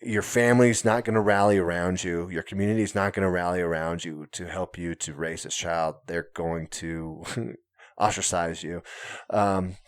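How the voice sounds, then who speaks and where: A low-voiced man co-hosting a podcast, talking through a long story in a casual, unhurried way. He often pauses to hunt for the right word and laughs lightly at himself along the way. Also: steady tone. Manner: storytelling at length, searching for words, chuckling now and then. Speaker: podcast co-host.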